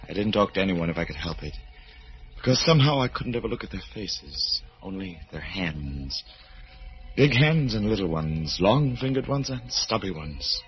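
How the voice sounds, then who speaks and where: An obsessive, melodramatic pianist, old radio. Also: male voice, low-pitched, very animated, normal speed, faint music behind. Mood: haunted narration. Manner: intense, melodramatic, insistent. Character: obsessive, unstable male concert pianist, desperate and volatile. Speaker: actor and first-person narrator. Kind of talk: old-time radio horror acting. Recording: muffled vintage radio recording.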